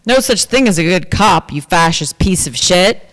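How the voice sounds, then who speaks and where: An actress playing a hostile, preachy, foul-mouthed heckler in a staged reading, loud and scornful as she declaims. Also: on the low side, lively intonation, normal speed. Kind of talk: live staged play reading. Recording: echoey theatre hall, distant voice.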